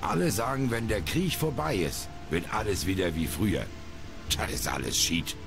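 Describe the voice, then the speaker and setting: Old retired sailor, game role. Very low, animated, folksy grumbler, over music. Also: male voice, normal speed. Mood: indignant. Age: older. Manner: folksy, grumbling seaman's talk. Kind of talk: video game character dialogue. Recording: music under the voice.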